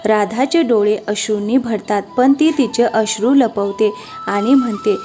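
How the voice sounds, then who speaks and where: A woman's voice: a little high, measured pace, warm tone. A woman reading scripted narration for a cartoon moral story. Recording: music behind the voice.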